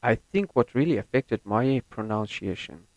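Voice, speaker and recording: A young man from Syria whose first language is Kurdish reads a set passage slowly and carefully, then talks about himself. He speaks English with an American-leaning accent. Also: mid-range voice, lively intonation, a little mellow, dry recording, dull audio. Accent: American-leaning non-native English. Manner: slow, careful and deliberate. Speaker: university student. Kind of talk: reading a set passage, then personal account.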